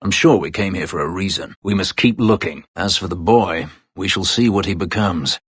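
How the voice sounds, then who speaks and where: An actor playing a proud, bitter former slave warrior in a video game, speaking tersely and formally in a husky, gravelly voice. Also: male voice, low voice, normal speed, dry recording, studio quality. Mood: guarded, brooding. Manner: terse, formal, brooding delivery. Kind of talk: video game character dialogue.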